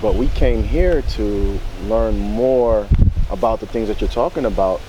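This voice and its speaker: A man's voice: lowish voice, a little slow, dark tone, a little hiss or hum, low-quality recording. Curious man asking questions outdoors. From Hawaii. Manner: curious, lots of short backchannel replies. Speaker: visiting interviewer. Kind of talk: casual conversation.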